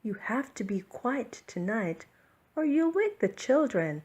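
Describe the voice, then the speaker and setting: A woman voicing a gently scolding storybook creature who shushes and gives earnest, hushed warnings. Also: fairly high pitch, big pitch swings, measured pace, pitched above the performer's own voice, heavily acted. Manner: breathy whispered shushing, then earnest warning. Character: hushing storybook animals and snowman, gently scolding Santa.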